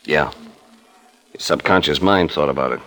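An actor playing a terse, world-weary police detective in a 1940s radio crime drama, with clipped, understated delivery. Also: male voice, rumbling low, normal speed, sharp tone. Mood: reflective, subdued.

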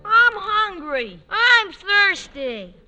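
Very high-pitched whining little kid. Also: very animated, very slow, highs cut off, over loud music, full character voice. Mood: whiny. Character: hungry, cheeky, whining young child. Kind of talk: 1950s radio comedy acting. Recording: noisy, crackly vintage radio recording.

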